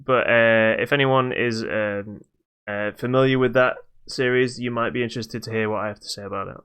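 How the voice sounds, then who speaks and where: A middle-aged man co-hosting a casual podcast, talking in a halting, hesitant way. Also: mid-range voice, steady tone, a bit bright, smooth. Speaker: podcast co-host.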